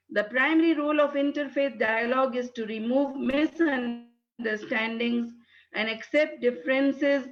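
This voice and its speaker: A woman from Pakistan, English not her first language, giving a hesitant webinar talk full of fillers. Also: sits high. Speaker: guest speaker. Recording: dull lo-fi webinar audio.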